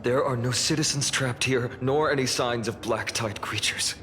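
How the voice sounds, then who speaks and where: A man playing a composed heroic leader in a video game, speaking in a grave, formal, measured way. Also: mid-range voice, noisy background, lightly acted. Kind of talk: dramatic video game voice acting. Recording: long hall reverb with music.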